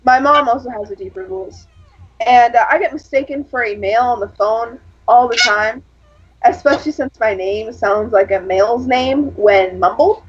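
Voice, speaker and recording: A woman with a husky, fairly low voice chatting casually, rambling through personal stories with lots of fillers. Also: normal speed. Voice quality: husky, lower than average for a woman. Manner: rambling, storytelling with frequent fillers. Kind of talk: casual chat. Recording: lo-fi dull sound.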